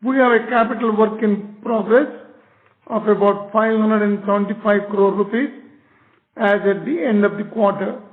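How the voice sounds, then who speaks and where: A man's voice: high voice, steady tone, normal speed. A man with an Indian accent giving formal prepared remarks as a managing director on an earnings call. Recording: narrow tinny phone-line audio.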